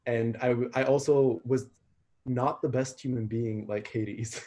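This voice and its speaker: A man's voice: mid-range voice, fairly even intonation, measured pace, warm tone. A man, an actor in a cast, chatting casually on a video call. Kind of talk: casual chat.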